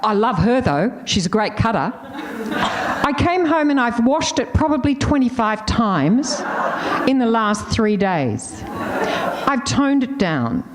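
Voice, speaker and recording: An older woman with an Australian accent, telling candid, laughing stories as a panelist. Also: fairly high pitch. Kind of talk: casual storytelling on a panel.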